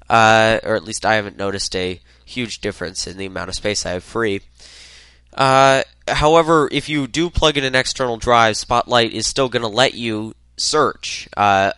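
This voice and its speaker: A man's voice: lowish voice, bright, forceful tone, smooth, dry recording. A man with a head cold hosting a solo tech podcast, talking loosely with lots of uhs. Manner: rambling, many filler uhs. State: congested from a cold. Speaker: podcast host.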